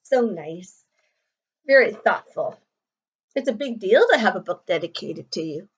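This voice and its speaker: A woman's voice: medium pitch, lively intonation, unhurried, husky. A woman teacher reading a picture book aloud to children, explaining simply and checking in with questions, on slightly dull audio.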